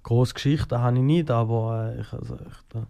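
A singer with a Schaffhausen Swiss German accent answering interview questions in a halting way, full of fillers and restarts. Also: male voice, medium pitch, steady tone, gravelly, dark tone, smooth. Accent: Swiss German, Schaffhausen dialect. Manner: hesitant, many fillers and restarts. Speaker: guest singer.

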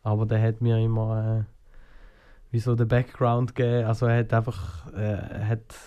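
A singer with a Schaffhausen Swiss German accent answering interview questions in a halting way, full of fillers and restarts. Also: male voice, on the low side, monotone, smooth, dull audio. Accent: Swiss German, Schaffhausen dialect. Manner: hesitant, many fillers and restarts. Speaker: guest singer.

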